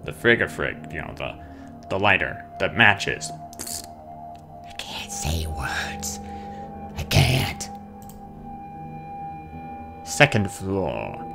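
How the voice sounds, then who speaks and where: Low, creaky-voiced man doing game commentary. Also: big pitch swings, very slow, pauses often. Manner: playful, chatty, sings nonsense sounds to himself; mutters and reads on-screen notes aloud. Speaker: gamer, let's player. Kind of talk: casual horror game playthrough commentary. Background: game music.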